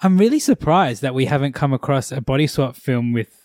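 A man from Australia hosting a casual podcast chat, laid-back and halting, laughing often. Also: mid-range voice, animated, a little mellow, no room sound, clean studio sound. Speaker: podcast host.

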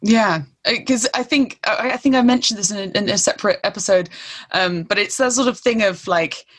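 A British-accented woman hosts a relaxed podcast chat. She is talkative and drops casual swear words. Her voice sits at a middling pitch. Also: fairly quick. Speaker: podcast host. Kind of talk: casual conversation.

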